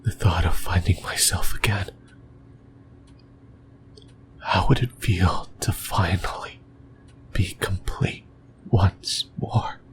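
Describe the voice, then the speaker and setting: A man voicing a lonely, possessive demon in a breathy, brooding whisper for an ASMR roleplay. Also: low voice, fairly even intonation. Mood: tender, yearning. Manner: slow, halting, brooding delivery. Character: lonely, possessive sleep paralysis demon, lost and yearning.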